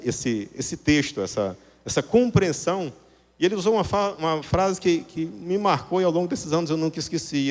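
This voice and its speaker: A man's voice: a little high, a little mellow. A man preaching a sermon to a congregation, explaining didactically and rephrasing points with rhetorical questions. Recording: reverberant hall sound.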